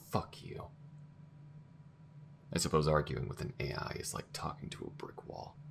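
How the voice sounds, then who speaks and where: A man's voice: very deep voice, steady tone, normal speed, creaky, a little hiss or hum, heavily acted. A man playing a bitter, fiery male alien war veteran in a sci-fi audio roleplay, speaking bluntly. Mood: weary disgust. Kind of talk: ASMR-style scripted sci-fi roleplay acting.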